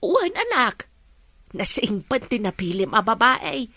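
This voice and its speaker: A woman's voice: on the high side, very animated, measured pace, bright, forceful tone, no room sound, heavily acted. A woman playing a doting older village mother in a radio drama, with a motherly way of talking. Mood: affectionate.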